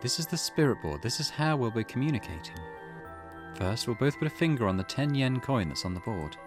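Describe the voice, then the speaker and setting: A man's voice: mid-range voice, big pitch swings, fairly quick, over music, lightly acted. A man voicing a composed teenage schoolgirl who leads an occult ritual, reading video game dialogue aloud. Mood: calm instructing.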